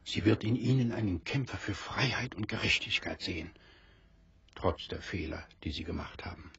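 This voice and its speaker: Deep-voiced, calm castaway leader. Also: male voice, creaky, husky, dull audio, exaggerated voice. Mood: solemn. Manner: measured, earnest, reassuring. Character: calm, fair-minded engineer leading island castaways. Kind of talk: German radio play acting.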